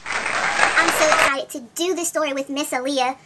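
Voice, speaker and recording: A woman voicing an excitable, vain young princess in a gushing, girlish, dramatic way for a children's story. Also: sits high, normal speed, over a noisy crowd, very noisy, pitched above the performer's own voice, lightly acted. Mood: excited, gushing. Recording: music behind the voice.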